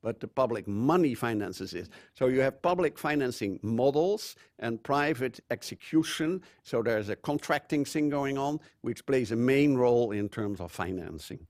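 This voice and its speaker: An older man from the Netherlands with a Dutch accent, giving a measured conference talk with frequent fillers. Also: medium pitch, lively intonation, a little mellow, no echo, clean studio sound. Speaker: conference speaker. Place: conference room.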